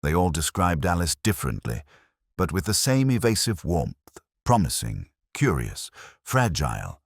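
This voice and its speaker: Man, very low pitch, animated intonation, dry close sound, measured literary detective narration. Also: gravelly, no echo. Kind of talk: scripted first-person detective story narration.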